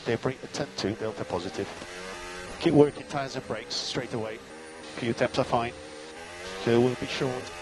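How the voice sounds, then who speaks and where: A man's voice: medium pitch, moderate pace, long pauses, music in the background. A man working as a race engineer, giving clipped, matter-of-fact instructions and gap updates over team radio, often repeating key points.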